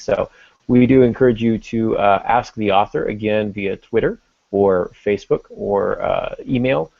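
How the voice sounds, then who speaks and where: A man's voice: on the low side, vocal fry, no echo. A man hosting a podcast in an informal, conversational way. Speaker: podcast host. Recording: dull lo-fi audio.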